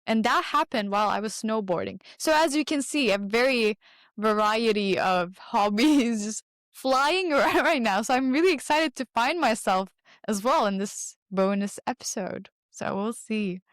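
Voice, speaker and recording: A woman's voice: on the high side, lively intonation, dry recording. Bubbly teenage woman from Turkey. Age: teens. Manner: bubbly, quick laughs, trailing pauses. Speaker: podcast producer and guest. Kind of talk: casual interview chat.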